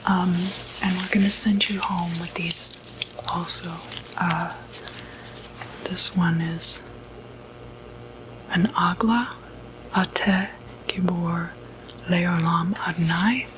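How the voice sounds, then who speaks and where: A woman whispering softly and breathily in an unhurried, hesitant ASMR roleplay as a doctor. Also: lowish voice, fairly even intonation, slight background noise, poor audio. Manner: soft-spoken, unhurried, hesitant pauses. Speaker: ASMR performer role-playing a doctor.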